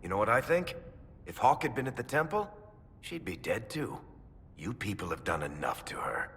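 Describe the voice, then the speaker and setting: Wry, animated rogue man. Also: on the low side, normal speed. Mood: bitter. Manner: wry, plain-spoken. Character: wry, guarded male rogue crossbowman with a soft heart. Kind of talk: dramatic video game cutscene acting. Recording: faint background ambience noise.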